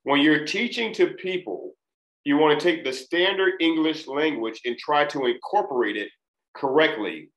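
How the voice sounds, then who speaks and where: A man of Trinidadian family teaching a recorded test prep lesson, speaking deliberately and enunciating each syllable. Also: on the high side, fairly bright tone. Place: small room, indoors. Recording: echoey room.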